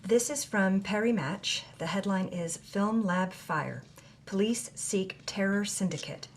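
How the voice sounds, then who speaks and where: A woman's voice: mid-range voice, natural voice. Actress as an earnest woman interviewer, faint noise. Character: earnest, yearning female interviewer and admirer. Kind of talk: audio fiction dialogue and read-aloud.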